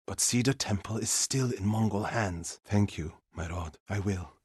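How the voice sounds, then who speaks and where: A devout warrior monk for a video game. His voice is fairly low and husky, recorded close and dry. He speaks formally and earnestly, with solemn loyalty and the weight of grief. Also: normal speed, a little mellow, no room sound. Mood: troubled, humble. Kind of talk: dramatic video game dialogue.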